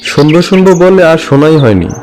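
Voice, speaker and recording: A man's voice: fairly high pitch, animated, brisk, darkish tone, a little hiss or hum, lightly acted. Eager young villager narrator played by a man. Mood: friendly, curious. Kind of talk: scripted cartoon narration. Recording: faint music behind the voice.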